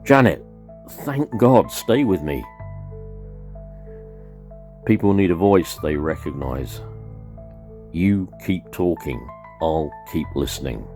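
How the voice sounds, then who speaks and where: A man's voice: low voice, expressive, takes it slowly, pauses often, darkish tone, soft background music, full character voice. A man playing a frightened but comforting broadcast host in a radio play, speaking with earnest urgency. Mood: urgent, reassuring. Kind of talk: dramatic live emergency broadcast in a radio play.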